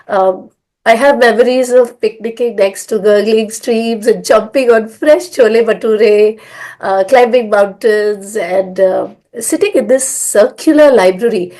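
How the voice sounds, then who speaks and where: A middle-aged woman from India. She talks at a somewhat raised pitch. She rambles warmly through her memories, with many "uh"s and "you know"s along the way. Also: a little slow. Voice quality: hoarse. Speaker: guest, language school founder. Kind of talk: conversational storytelling.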